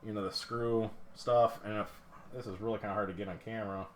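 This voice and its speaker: A man's voice: lowish voice, fairly even intonation, fast, a little hiss or hum. A man in casual, rambling live-stream talk, full of fillers and restarts, showing a pinball playfield up close on camera. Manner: rambling, lots of fillers and restarts, self-deprecating. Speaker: pinball restorer streaming a teardown.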